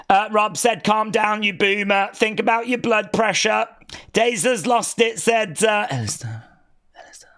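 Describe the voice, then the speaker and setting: A man's voice: on the high side, a bit bright. A man hosting a casual wrestling watch-along stream, reading viewer messages aloud and teasing them playfully. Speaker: live-stream host reading chat.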